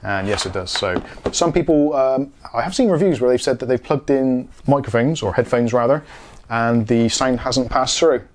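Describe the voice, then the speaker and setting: A man's voice: medium pitch, normal speed. A British man in his forties giving a casual, informal product review to camera on a lapel mic, thinking aloud as he goes. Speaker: tech reviewer, video host. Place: small home studio room, indoors. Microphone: lavalier. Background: music from speakers being tested.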